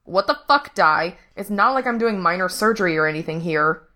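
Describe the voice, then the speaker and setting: A woman's voice: on the low side, slow, fairly bright tone. Woman acting an irritable, sarcastic male medic. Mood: irritated. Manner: snappish, sarcastic. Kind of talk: acted audiobook dialogue.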